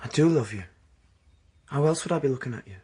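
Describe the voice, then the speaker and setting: Brooding, volatile young husband. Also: male voice, medium pitch, animated, fast, mellow, husky. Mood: tender, uneasy. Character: brooding working-class young husband, devoted but volatile and resentful. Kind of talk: radio drama acting with intimate narration. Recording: music behind the voice.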